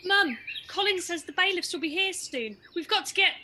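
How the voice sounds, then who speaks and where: A woman playing a sweet, excitable youngster in a radio pantomime. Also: very high voice, moderate pace, a little hiss or hum, heavily acted.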